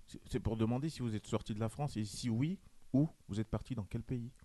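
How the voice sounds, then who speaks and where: Measured male participant, low warm tone, dry close sound. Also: dry recording. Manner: measured, asks questions. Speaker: debate participant. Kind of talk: questioning in a debate.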